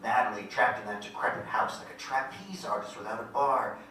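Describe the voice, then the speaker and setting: A man playing a narrator who recalls his boyhood adventure in vivid, literary, measured first-person storytelling on stage. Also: on the low side, sharp tone, recorded from a distance. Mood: tense, vivid. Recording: very echoey room from afar, faint noise.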